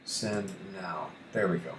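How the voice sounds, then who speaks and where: A man thinking aloud through a casual screen tutorial as a hobby radio host, halting and muttering to himself as he works. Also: low voice. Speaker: amateur radio YouTuber, host. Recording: echoey room with faint background noise.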